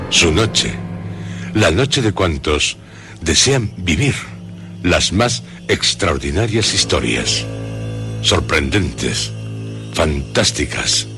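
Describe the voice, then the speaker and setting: A man with a Castilian Spanish accent presenting a horror radio show in a solemn, suspenseful scripted narration with dramatic pauses. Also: low voice. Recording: loud music under the voice. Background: background music.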